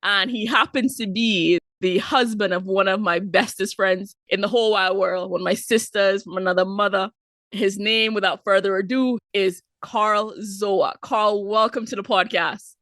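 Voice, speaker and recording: A woman hosting a podcast, upbeat and playful, talking quickly in a casual interview. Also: mid-range voice, fairly even intonation, fairly bright tone, no room sound, clean studio sound. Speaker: podcast host.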